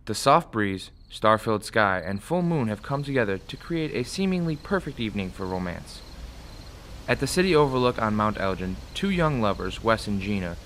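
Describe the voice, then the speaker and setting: A man's voice: on the low side, a bit bright, a little hiss or hum. A man reading scripted dramatic narration as the narrator of a radio play. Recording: music behind the voice.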